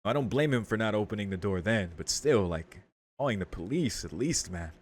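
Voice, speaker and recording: A man's voice: on the low side, expressive, fairly quick, a little hiss or hum. A man playing through a visual novel, reading its text aloud dramatically and reacting in casual, slangy speech. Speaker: video game streamer doing a playthrough. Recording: music behind the voice. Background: game music.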